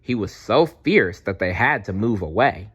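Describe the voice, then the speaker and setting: A man's voice: lowish voice, lively intonation, a little slow, slightly rough, dull audio. A man voicing a cautious but loyal little girl dinosaur in a storybook, childlike, chatty and earnest. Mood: explaining.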